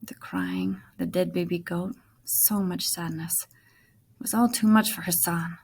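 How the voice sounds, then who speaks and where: A woman, a teacher, reading a storybook aloud with expressive narration. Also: lowish voice, measured pace, husky.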